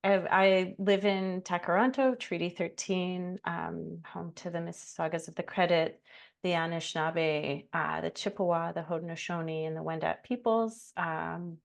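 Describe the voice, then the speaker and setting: Woman, forties, Canadian-raised curator; low, gravelly voice, halting and thoughtful over a video call. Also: fairly even intonation. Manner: hesitant, many fillers and restarts. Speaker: curator and artist. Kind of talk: reflective conversation, self-introduction.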